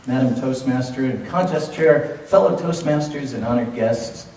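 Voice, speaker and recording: A man of fifty with a Californian American accent tells a humorous story as a contest speech, theatrically, with dramatic pauses and emphasis. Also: medium pitch, warm tone. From Los Angeles, United States. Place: indoor room at a speech contest, audience present. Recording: echoey room from far off, faint noise.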